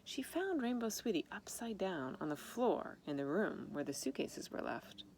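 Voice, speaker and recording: A woman reading a children's story aloud in an expressive manner, with informal asides about the pictures. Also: mid-range voice, normal speed. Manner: expressive, acts out dialogue. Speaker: storybook reader. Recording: noticeable background noise.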